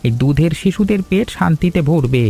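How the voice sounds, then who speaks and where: A man's voice: fairly high pitch, lively intonation, brisk, gravelly, warm tone. A man playing an earnest, helpful and kindhearted villager in a cartoon story, with a sentimental, wordy manner. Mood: warm. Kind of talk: animated story dialogue.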